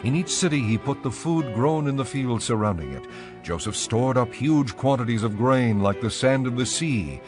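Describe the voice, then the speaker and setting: A man reads scripture as a narrator with a measured, formal delivery. His pitch is average, and faint music plays behind the voice. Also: expressive.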